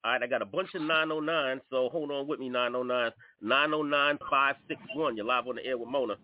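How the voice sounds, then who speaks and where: A man hosting an internet radio show, briskly taking callers and reading out lines. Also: mid-range voice, no room sound. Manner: brisk, reads out call-in lines. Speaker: radio host. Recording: dry tinny phone-like sound.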